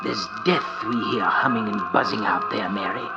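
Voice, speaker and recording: A man's voice: lowish voice, normal speed, gravelly, over loud music. A man playing a fearful, paranoid professor in a 1940s radio drama, agitated and anxious. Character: fearful older male professor, paranoid about insects. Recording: dull old radio recording.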